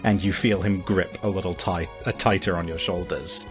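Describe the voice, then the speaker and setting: Guy running a tabletop game, lowish voice, chatty and sweary, faint music in back. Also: fairly even intonation, normal speed. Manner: casual, sweary, conversational. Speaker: game master. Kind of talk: improvised tabletop narration. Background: faint background music.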